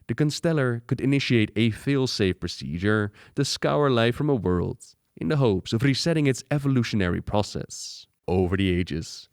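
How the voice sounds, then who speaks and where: A fairly deep male voice, recorded dry and close. He narrates as a video host, in a scripted explainer style. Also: clear, resonant tone, dry recording.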